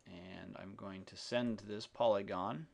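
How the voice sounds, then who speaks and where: Male tutorial presenter, lowish pitch with heavy vocal fry, unscripted and halting, walking through each step of a software demo. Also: fairly even intonation. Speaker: software demonstrator. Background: keyboard typing and mouse clicks.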